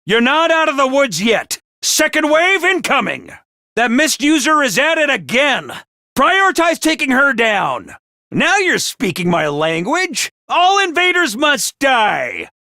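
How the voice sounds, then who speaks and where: A man voicing a peppy, bossy little mascot commanding officer in a video game, with a high cartoonish voice and theatrical, jaunty drill-sergeant delivery. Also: very animated, measured pace, sharp tone, no room sound, studio quality, exaggerated voice. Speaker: voice actor for a video game character. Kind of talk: animated video game voice acting.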